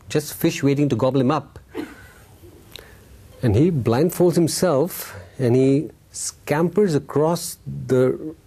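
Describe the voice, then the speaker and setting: Male spiritual teacher, husky and relaxed in tone, informal storyteller who plays with the tale and checks that listeners follow. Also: mid-range voice. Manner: informal, playful, acting out dialogue, checking in with listeners. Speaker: Buddhist teacher giving a talk. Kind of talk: animated storytelling Dharma talk to a live group.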